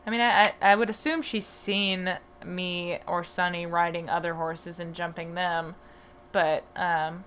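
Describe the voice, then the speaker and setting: A woman's voice: lowish voice, steady tone, unhurried, fairly bright tone, a little hiss or hum. A woman hosting a podcast about her horses, talking casually with plenty of hesitant fillers. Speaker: podcast host and horse owner. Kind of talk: casual conversation.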